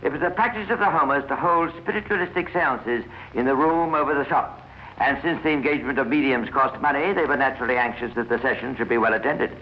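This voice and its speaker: A man's voice: mid-range voice, quick pace. A British man narrating a scripted 1950s radio crime story in a formal, precise storytelling manner. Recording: muffled, noisy old radio recording.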